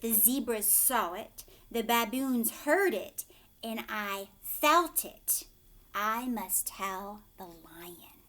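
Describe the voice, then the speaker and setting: An earnest storybook rhino, voiced by a woman reader, calls out in a raised, fairly high voice. Each phrase is slow and emphatic. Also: lively intonation, pushed and bright, exaggerated voice. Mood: announcing.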